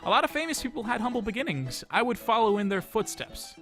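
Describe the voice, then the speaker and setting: A man's voice: extremely high pitch, sing-song, quick pace, bright, forceful tone. A man reading a visual novel aloud as a let's play host, dramatizing the story and dropping in casual asides. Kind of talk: expressive read-aloud narration of a visual novel. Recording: music behind the voice. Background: game music.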